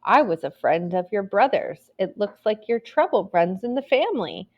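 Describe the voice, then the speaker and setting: A woman's voice: medium pitch, very animated, muffled recording. A woman voicing a devious male fox in a storybook, coaxing with false sweetness. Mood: sly, coaxing. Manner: wheedling, drawn-out coaxing. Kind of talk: storybook read-aloud.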